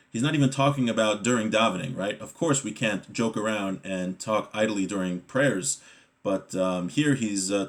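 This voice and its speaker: A man giving a Torah lesson as a religious teacher, reading Hebrew text and explaining it conversationally in English, often checking in with a short question. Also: fairly low pitch.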